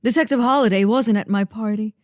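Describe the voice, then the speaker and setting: A woman's voice: a little high, brisk, no echo, clean studio sound, close to an everyday voice. A woman playing a breathless, emotional young leading lady in an old-time radio melodrama. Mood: tender, curious.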